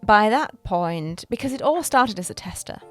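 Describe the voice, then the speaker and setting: A woman's voice: mid-range voice, lively intonation, moderate pace, faint music behind. A woman co-hosting a casual podcast as a producer, explaining and clarifying points for the listeners. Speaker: podcast co-host, executive producer.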